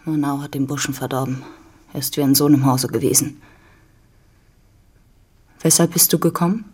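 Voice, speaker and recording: A woman's voice: extremely low pitch, steady tone, normal speed, darkish tone, slightly rough. An actress playing a hard, domineering rich farm woman in a literary radio drama, stern, commanding and scornful. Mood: curt, commanding.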